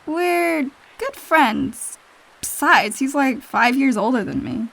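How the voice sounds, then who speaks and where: A woman playing a plucky, casual teenage mutant girl in an audio drama, with a slightly creaky voice. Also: high voice, animated, takes it slowly, a bit bright, heavily acted. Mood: wry, defensive.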